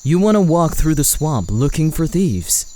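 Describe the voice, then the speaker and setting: A man playing a cautious, practical husband and father in a fantasy audio drama, measured and questioning. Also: fairly high pitch, very animated, warm tone, a little hiss or hum.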